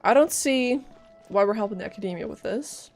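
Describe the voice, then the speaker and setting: A woman's voice: medium pitch, expressive, brisk. A woman live-streaming a game with casual, chatty and playful commentary. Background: faint game music.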